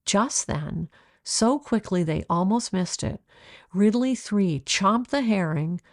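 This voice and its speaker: A woman narrating a children's storybook in a measured, expressive way, like an author reading aloud. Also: mid-range voice, no echo, clean studio sound.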